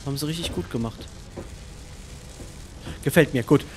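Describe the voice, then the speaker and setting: A man's voice: medium pitch, normal speed, darkish tone. A man with an ordinary mid-range voice talks his way through a video game session. He thinks aloud, counts things off and chats loosely to himself and his viewers. A faint background hiss runs under the recording. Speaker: let's play host. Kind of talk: casual gaming commentary.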